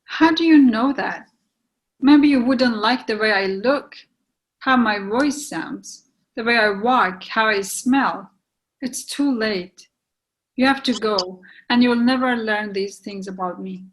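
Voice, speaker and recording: A woman's voice: on the high side, takes it slowly, low-quality recording. A woman playing an anxious, reluctant young woman in a play reading, hesitant and apologetic but firm. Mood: firm, distressed.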